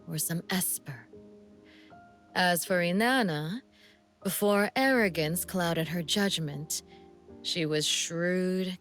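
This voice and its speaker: Slow, seductive assassin woman. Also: lowish voice. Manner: languid, teasing, deliberate, sometimes breathy near-whisper. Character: seductive, sadistic female assassin, coolly amused and menacing. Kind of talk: video game character voice lines.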